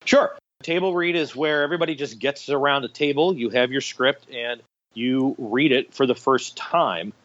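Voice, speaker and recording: Male theatre director as interviewee, medium pitch, conversational explainer, slightly lo-fi recording. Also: normal speed, a bit bright. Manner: conversational, explains step by step with examples.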